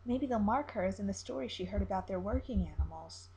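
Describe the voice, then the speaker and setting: A woman reading a story aloud as narrator, in an expressive amateur storytelling style. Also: mid-range voice, fast.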